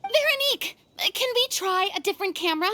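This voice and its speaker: Squeaky, excitable young film director. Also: female voice, quick pace, heavily acted. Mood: puzzled, dismayed. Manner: commanding, theatrical, exclamatory. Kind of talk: anime-style video game voice acting.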